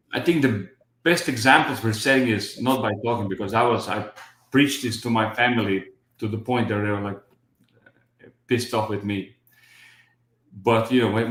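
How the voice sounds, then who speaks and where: A man's voice: on the low side, a bit bright, roomy sound, a little hiss or hum. A man in his fifties from Croatia with a Croatian accent, a guest on a video call who talks in a rambling way with many restarts. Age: 50s. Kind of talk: casual livestream chat.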